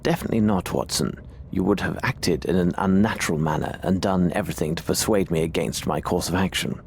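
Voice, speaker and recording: A low-pitched, composed and shrewd Victorian detective for a video game. He phrases things formally and deliberately, with a theatrical touch. Faint noise sits behind the voice. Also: gravelly. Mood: dry, calm. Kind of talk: video game dramatic acting.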